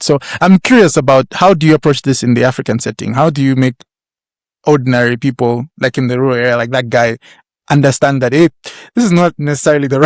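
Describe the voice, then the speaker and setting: A man's voice: medium pitch, no echo, clean studio sound. A man hosting a podcast, asking a long rambling question and searching for words. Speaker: podcast host.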